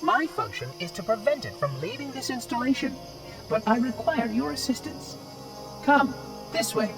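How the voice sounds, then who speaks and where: A man's voice: very high voice, expressive, moderate pace, creaky, reverberant, distant mic, music in the background, full character voice. A man voicing a chirpy, officious robot monitor in a video game, formal and precise, with robotic processing. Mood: brisk, polite. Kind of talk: video game cutscene acting.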